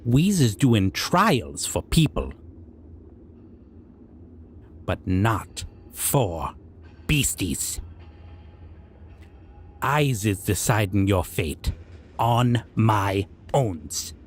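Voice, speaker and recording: A man's voice: on the low side, big pitch swings, slight background noise, full character voice. A man voicing a stern, civilized goblin king who speaks in odd broken grammar, like a menacing judge in a fantasy roleplay audio drama. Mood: coldly threatening. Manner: broken, unusual grammar with drawn-out questioning.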